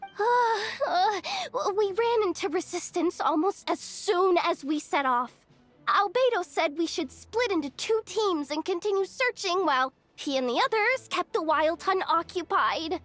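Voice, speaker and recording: A woman's voice: very high voice, animated, a little slow, fairly bright tone, full character voice. A woman voicing a nervous young female scout who stammers and gets flustered. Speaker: game character.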